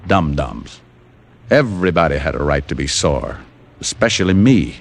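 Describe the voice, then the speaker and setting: A man's voice: a bass voice, lively intonation, normal speed, vocal fry, exaggerated voice. A man playing a wisecracking private detective in a 1950s radio drama, narrating and talking with dry, sardonic delivery. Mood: wry narrating.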